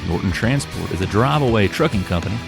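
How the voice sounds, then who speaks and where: A man's voice: on the low side, lively intonation, fast talker, creaky. A man reading a scripted sponsor message in a promotional way. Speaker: ad announcer. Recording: announcer read over music.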